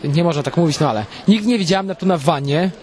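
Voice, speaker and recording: A man's voice: a little high, racing, a little mellow. A man interviewed on the street, answering casually off the cuff in a playfully mock-serious manner.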